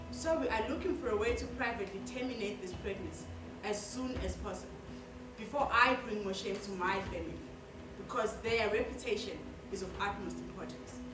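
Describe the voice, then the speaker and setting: A woman playing an ashamed, dutiful young woman in a solemn stage monologue, confessing in deliberate, formal words. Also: mid-range voice, close to an everyday voice. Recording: echoey stage, distant mic, music behind.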